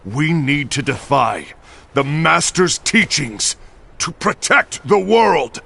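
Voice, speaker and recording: An actor playing an earnest, defiant warrior disciple with measured, dramatic intensity in a game cutscene. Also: male voice, on the high side, big pitch swings, creaky, pushed and bright, breathy edge, heavily acted. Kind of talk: cinematic game cutscene acting.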